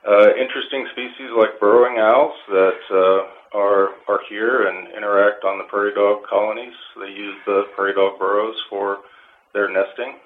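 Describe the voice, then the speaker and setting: A man answering interview questions as a park official, explaining in a halting, measured way with technical wording. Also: on the low side, fairly even intonation. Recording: phone-like line with clear noise.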